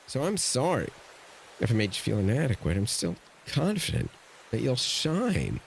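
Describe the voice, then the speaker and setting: A man's voice: mid-range voice, wildly swooping pitch, normal speed, creaky, very noisy. An actor playing a protective middle-aged uncle, a former navy man, in an audio drama, earnest and pressing. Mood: reflective, tender.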